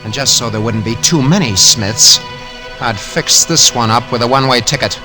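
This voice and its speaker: A man's voice: mid-range voice, moderate pace, natural voice. An actor playing a cynical, scheming swindler with clipped tough-guy slang in a 1940s radio crime drama. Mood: cold, scheming. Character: cynical scheming male swindler, cool, coldly murderous narrator. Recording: 1940s radio recording with loud music.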